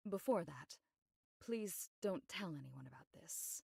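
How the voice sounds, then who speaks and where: A composed, discreet woman investigator from an anime game. Her voice sits slightly low with a clear fry in it. She briefs in a measured, matter-of-fact way, recorded close and dry. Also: animated, a little mellow, no echo, exaggerated voice. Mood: serious, discreet. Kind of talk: scripted anime game voice acting.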